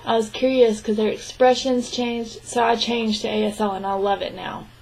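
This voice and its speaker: Woman interpreting in a flat, higher voice. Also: a little hiss or hum, poor audio. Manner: even, measured delivery with frequent restarts. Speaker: sign language interpreter voicing a deaf man's answers. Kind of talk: spoken interpretation of a signed interview.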